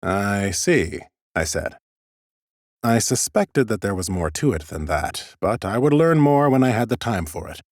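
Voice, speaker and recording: A man reading scripted first-person fantasy narration for an audiobook in an expressive, theatrical way. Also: fairly low pitch, moderate pace, no room sound, studio quality.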